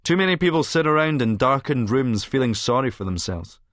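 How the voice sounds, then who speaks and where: An actor with a Scottish accent narrating an audiobook as a cynical, vain Edinburgh publican, smug, sardonic and wordy. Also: male voice, mid-range voice, expressive, fairly quick, fairly bright tone, dry recording, clean studio sound, close to an everyday voice. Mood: mock solemn. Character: cynical, vain male Edinburgh publican and schemer, smugly self-satisfied. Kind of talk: first-person audiobook narration in character.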